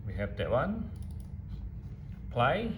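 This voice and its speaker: A man's voice: medium pitch, wildly swooping pitch, extremely slow. Man giving tutorial in echoey, noisy room. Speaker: instructor demonstrating audio digitisation software. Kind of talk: step-by-step software tutorial, informal. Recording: echoey room, clear background noise.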